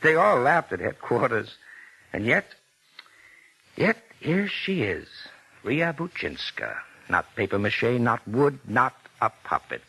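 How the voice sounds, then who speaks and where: A man playing a suspicious, insistent police detective in a 1940s radio drama, with a husky voice and clipped, accusing delivery. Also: mid-range voice, very animated, measured pace, pushed and bright, exaggerated voice, low-quality recording. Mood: deliberate, needling. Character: pressing, suspicious male police detective interrogating suspects.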